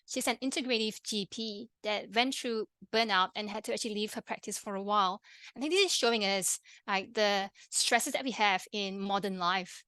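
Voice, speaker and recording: A woman with a Malaysian accent, a pharmacist and health coach, presenting an online workshop in a friendly, quick way with many fillers and restarts. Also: medium pitch, bright, forceful tone, dry recording. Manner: fast-talking, friendly, with frequent fillers and restarts.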